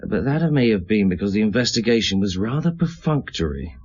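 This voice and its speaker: An earnest junior police detective in a radio play. His voice is husky and he talks plainly and dutifully. The sound is slightly dull. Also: lowish voice, big pitch swings, brisk, darkish tone. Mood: dutiful, matter-of-fact. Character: earnest, dutiful male police subordinate reporting to his chief. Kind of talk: radio drama acting.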